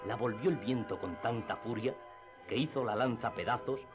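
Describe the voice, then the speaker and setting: A man's voice: mid-range voice, moderate pace. A man reading scripted literary narration for radio theatre. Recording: dull lo-fi radio drama with music.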